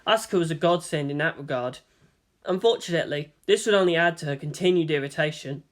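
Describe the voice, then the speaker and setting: A man reading fan fiction aloud as an expressive audiobook narrator. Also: fairly high pitch, quick pace.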